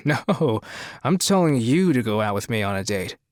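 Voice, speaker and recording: A man's voice: medium pitch, very animated, moderate pace, no echo, studio quality, natural voice. A man playing a domineering, smug, flirtatious crime boss in an audio drama, commanding and insistent.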